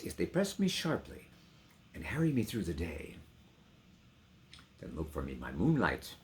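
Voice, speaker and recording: Guy doing a defiant romantic highwayman, theatrical poem delivery, big swoops up and down, bright forceful voice. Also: a little hiss or hum, full character voice. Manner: declamatory, theatrical. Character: bold young highwayman lover, ardent, defiant outlaw. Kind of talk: dramatic poetry recitation.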